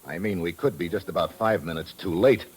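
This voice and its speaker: An actor playing a wisecracking, confident American private investigator in a vintage radio drama, talking in slangy, brash lines. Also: male voice, low-pitched, a little fast, lightly acted. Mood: excited, realizing. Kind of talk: 1940s-50s radio drama acting. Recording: vintage radio recording with music.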